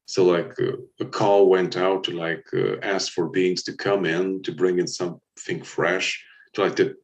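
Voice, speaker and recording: Man, low voice, host of a spiritual livestream, loose and rambling with constant "like" fillers, set back from the mic. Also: steady tone, unhurried, distant mic, muffled recording. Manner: rambling, fills every phrase with "like". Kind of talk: casual spiritual livestream chat.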